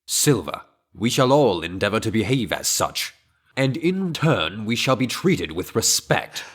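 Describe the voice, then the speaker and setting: Man as a stern, animated pony chamberlain. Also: on the low side, husky, exaggerated voice. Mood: formal, reproving. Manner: formal, measured diction, reproving. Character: stern, dignified senior royal chamberlain, a male pony. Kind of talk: fan-made radio drama acting.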